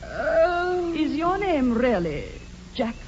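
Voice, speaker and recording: A woman's voice: high voice, very animated, takes it slowly, darkish tone, heavily acted. An actress playing an icily polite, haughty young British upper-class lady in arch, formal 1940s radio comedy style. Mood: shocked. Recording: muffled, noisy 1940s radio broadcast.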